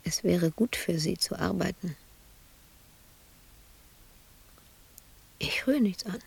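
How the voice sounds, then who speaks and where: Husky, haunted woman confined in an asylum. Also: fairly low pitch, normal speed, a little mellow, exaggerated voice. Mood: weary, bitter. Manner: intimate monologue, dropping into breathy whispers. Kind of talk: radio drama monologue.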